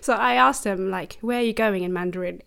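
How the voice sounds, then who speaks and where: A young woman hosting a podcast, asking questions and chatting in a relaxed way with fillers and soft laughs. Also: mid-range voice, brisk, gravelly. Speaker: podcast host. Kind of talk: casual interview chat.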